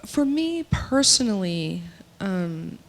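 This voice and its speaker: A woman speaking at a panel discussion, voicing for a panelist in a measured, earnest way with some emphatic stretches. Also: medium pitch, very animated. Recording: long reverb of a large hall.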